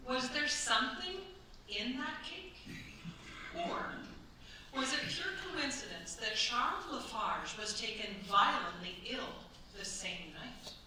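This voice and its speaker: A woman narrating a staged radio play in a formal, measured, suspenseful style. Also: fairly high pitch, lively intonation. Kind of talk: dramatic scripted narration. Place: stage at a cultural centre. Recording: echoey stage, distant mic, faint noise.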